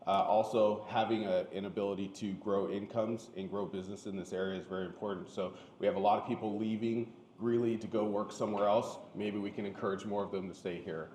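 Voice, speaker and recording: A man answering as a city candidate at a forum, measured and soft-spoken. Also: on the low side, a little hiss or hum.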